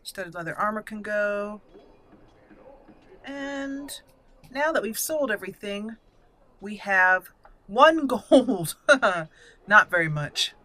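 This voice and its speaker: A woman's voice: medium pitch, lively intonation, slow, long pauses, bright, forceful tone. A woman giving casual gameplay commentary, thinking aloud and reading item names out as she goes. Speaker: gaming channel host. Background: video game music.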